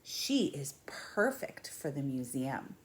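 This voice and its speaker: A woman's voice: rumbling low, big pitch swings, takes it slowly, a little hiss or hum, exaggerated voice. A woman reading the part of an earnest, proud female museum doctor in a storybook. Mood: pleased.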